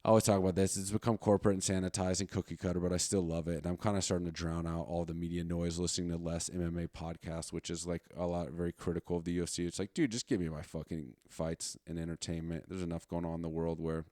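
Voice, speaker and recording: Male podcast host, late 30s, low voice, dry sound. Informal, sweary, unscripted rambling. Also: level tone, fast, hardly any pauses, warm tone, no echo. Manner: profane, slangy, informal. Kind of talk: casual rambling solo chat.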